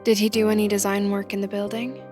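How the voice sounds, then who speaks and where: Audio drama rookie: mild-mannered, curious young woman played by an actress, medium-pitched, soft music underneath. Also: little pitch movement, quick pace, close to an everyday voice. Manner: polite, hesitant, inquisitive.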